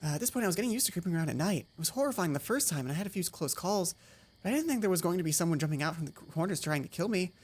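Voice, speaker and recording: A man reading the first-person narration of a young female student in a game, hushed and suspenseful. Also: high voice, lively intonation, rapid-fire, breathy edge.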